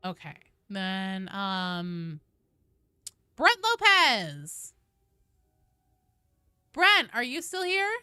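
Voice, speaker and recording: A woman's voice: mid-range voice, very animated, extremely slow, pauses often, bright, forceful tone, clear, resonant tone, dry recording, studio quality. A woman hosting a game stream, chatting casually and reading out viewer comments in informal, slangy language.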